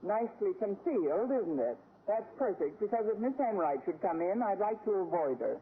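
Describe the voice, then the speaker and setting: A woman's voice: mid-range voice, expressive, moderate pace, natural voice. An actress playing a sardonic woman schoolteacher with dry, wisecracking delivery in an old radio sitcom. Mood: dry, sarcastic. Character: sardonic, lovelorn woman schoolteacher with quick comebacks. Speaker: lead actress and narrator. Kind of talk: 1940s-50s radio sitcom acting. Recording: tinny, very noisy vintage radio recording.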